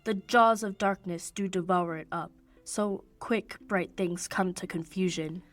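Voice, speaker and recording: A woman's voice: on the low side, measured pace, dry recording, soft background music, close to an everyday voice. A young woman playing an ardent young nobleman in love in a radio play of Shakespeare, speaking poetic verse. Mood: tender, lamenting. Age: young adult. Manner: declamatory, poetic verse delivery.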